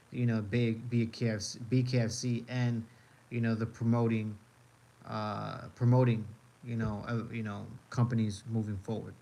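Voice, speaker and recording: Male streamer from the US, casual running chat, rambling and repetitive, middle pitch. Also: a little mellow, poor audio. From United States. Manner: rambling, repeats phrases, many fillers. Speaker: live streamer. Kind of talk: casual live-stream chat reacting to videos.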